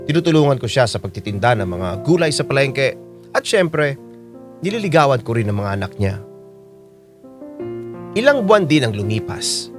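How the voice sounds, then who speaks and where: A medium-pitched male voice from an actor narrates as an older suitor looking back on his romance. He, and guitar and piano music plays clearly behind him. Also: close to an everyday voice. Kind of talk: scripted first-person drama narration.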